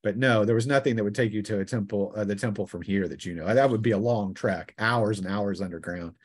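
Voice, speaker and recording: A man with a fairly low voice acts as game master for a tabletop roleplaying session. He improvises the narration as he goes. His delivery is halting, with pauses as he searches for the next detail. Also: breathy edge. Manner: halting, trailing pauses while improvising descriptions.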